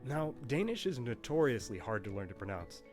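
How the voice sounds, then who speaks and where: A man reading a scripted narration with a wry, playful touch, his pitch moving in animated swings. Soft guitar music runs faintly behind him. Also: fairly quick. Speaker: narrator of a language history video.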